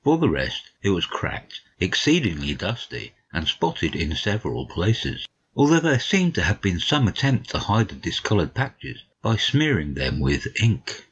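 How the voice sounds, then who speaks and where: Modest gentleman storyteller , played by a man: deep, husky, measured and courteous, audiobook on slightly muffled audio. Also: big pitch swings, full character voice. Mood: measured, wry. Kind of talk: dramatic audiobook reading, storytelling.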